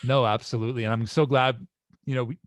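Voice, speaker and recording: A man's voice: medium pitch, fairly even intonation, fast, no echo. Man hosting a podcast. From Canada. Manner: friendly, many "uh" fillers, jokey asides. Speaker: podcast host. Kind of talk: casual interview hosting.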